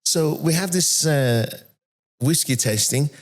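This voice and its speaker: A soft-spoken man in his fifties from Iran who moved to England as a teenager, telling personal stories as an interview guest. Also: a little high, takes it slowly. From born and raised in Iran, moved to England at 13. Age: 50s. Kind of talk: animated personal storytelling in an interview.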